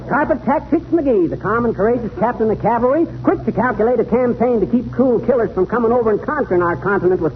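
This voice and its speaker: Cocky retired major, American, high lively voice, folksy bragging, vintage radio comedy, thin crackly sound. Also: male voice. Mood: grandly boastful. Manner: folksy, boastful, ungrammatical wisecracking. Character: cocky, folksy, tall-tale-telling retired army major, a man. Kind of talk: 1930s radio comedy acting. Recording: noisy, tinny 1930s radio recording.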